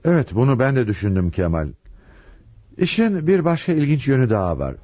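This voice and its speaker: An actor playing a gruff, brooding police chief inspector in a radio drama, authoritative and methodical. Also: male voice, medium pitch, very animated, a little fast, mellow. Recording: dull old radio drama broadcast.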